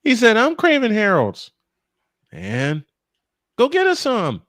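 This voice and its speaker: A man's voice: high voice, huge pitch swings, takes it slowly, fairly bright tone, no echo. American man calling baseball, close dry sound. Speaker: play-by-play commentator. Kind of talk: live baseball commentary mixed with casual banter.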